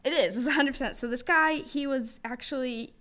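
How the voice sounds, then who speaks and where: A woman's voice: high voice, brisk, a bit bright. A young woman co-hosting a casual talk podcast, chatty and full of fillers like "like", giggling easily. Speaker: podcast co-host. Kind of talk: casual conversational chat.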